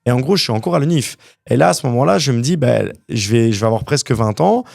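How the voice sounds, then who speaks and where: Young man, gravelly voice, dry close sound, lively storyteller. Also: medium pitch, no echo. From Canada. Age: young adult. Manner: animated, fast storytelling with many fillers. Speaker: guest entrepreneur. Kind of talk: casual interview storytelling.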